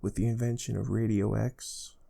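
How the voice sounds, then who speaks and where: A man playing a calm, soft-spoken space research team interviewer in an ASMR role play, explaining things gently and without hurry. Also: lowish voice, moderate pace, gravelly. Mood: calm, instructive. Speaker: ASMR performer.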